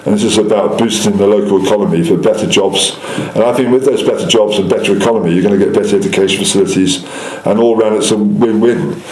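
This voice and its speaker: Fast, deep-voiced male councillor, echoey room. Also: a little mellow. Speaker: local councillor. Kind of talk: political interview answers.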